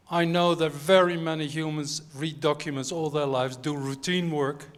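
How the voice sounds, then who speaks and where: A man from the audience asking an earnest, formal question. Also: on the high side. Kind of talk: question from the floor.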